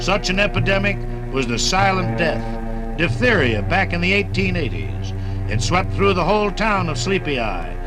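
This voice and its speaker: A man's voice: high voice, low-quality recording. An American man narrating a 1950s radio documentary as a folksy, dramatic storyteller. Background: music.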